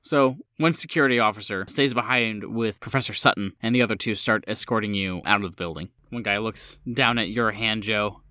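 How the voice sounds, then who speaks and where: A man's voice: on the low side, fairly quick, few pauses, bright, forceful tone, no echo, studio quality. A man narrating a tabletop game as game master in an informal, improvised, conversational way.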